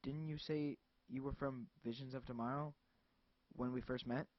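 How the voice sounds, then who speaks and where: A man voicing a frightened, furious woman who is cornered by strangers, with a shrill, panicky delivery. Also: fairly even intonation, normal speed, dry recording, close to an everyday voice. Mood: nervous. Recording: dull lo-fi dry recording.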